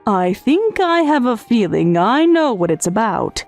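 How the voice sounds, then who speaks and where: A woman's voice: fairly high pitch, sing-song, a little slow, darkish tone, faint music behind. A woman playing a caring, observant working mother in an anime-style romantic comedy dub. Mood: gentle, knowing.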